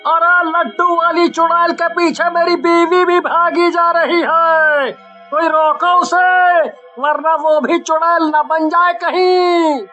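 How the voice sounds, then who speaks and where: A man's voice: extremely high pitch, level tone, slow, pushed and bright, dull audio, faint music behind, exaggerated voice. A man playing a worried, bewildered husband in a cartoon horror story, exclaiming and questioning himself anxiously. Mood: alarmed. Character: worried, bewildered husband searching for his missing wife. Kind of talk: dramatized Hindi animated horror-story acting.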